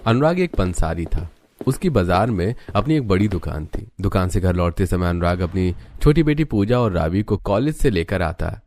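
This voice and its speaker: A man's voice: low voice, lively intonation, moderate pace, darkish tone. A man narrating a scripted family story for a cartoon, as a storyteller.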